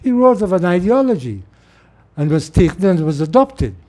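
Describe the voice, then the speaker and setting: A man's voice: sits high, big pitch swings, normal speed, dark tone. A middle-aged man from Trinidad with a Trinidadian accent, giving a rambling informal talk and repeating words as he restarts. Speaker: political speaker. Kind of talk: informal monologue.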